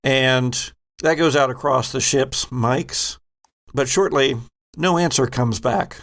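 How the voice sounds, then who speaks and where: A man's voice: medium pitch, slow, creaky, fairly bright tone. A man working as game master, narrating a tabletop game off the cuff and searching for his words.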